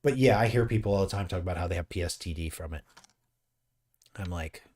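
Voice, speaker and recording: A man in his late forties chats casually on a live painting stream. His voice sits on the low side. He rambles and jokes while reading viewer comments aloud. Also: fast, a little mellow. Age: 40s. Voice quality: graying beard mentioned, easygoing. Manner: rambling, joking, reads chat aloud.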